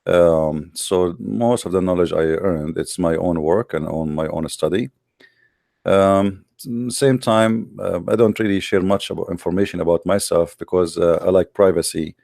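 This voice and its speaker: Deep gravelly man with Arabic accent. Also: a little mellow, dry recording, studio quality. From Middle East. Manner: halting, many fillers. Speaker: guest. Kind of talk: audio call interview.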